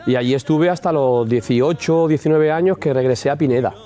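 A man's voice: mid-range voice, brisk, warm tone, soft background music. A man from Spain, a singer, talking through his story in a reflective, rambling way with frequent restarts. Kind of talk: interview answers.

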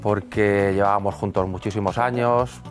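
Halting, low-voiced man. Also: fairly even intonation, soft background music. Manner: hesitant, many fillers and repeated words. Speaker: band member. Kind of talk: interview answers.

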